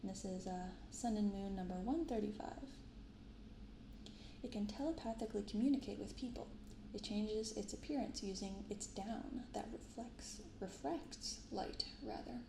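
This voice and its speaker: A soft-spoken woman hosting an unhurried ASMR-style unboxing, recorded in an untreated apartment room. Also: medium pitch. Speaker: host of a card unboxing channel. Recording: roomy untreated apartment, clear background noise.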